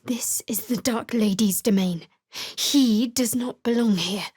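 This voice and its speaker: A woman playing a guarded, sardonic young cleric of a dark goddess in a fantasy video game, speaking in a dry, measured way. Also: fairly high pitch, breathy edge, dry recording. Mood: brooding, hushed. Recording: dry close studio recording.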